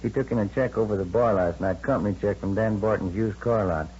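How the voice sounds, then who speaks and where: An actor playing a plain-spoken American police detective partner in a radio drama, reporting in a terse, matter-of-fact way. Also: male voice, fairly low pitch, fairly quick, warm tone, natural voice. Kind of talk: 1950s radio drama acting. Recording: muffled old radio recording, faint hum.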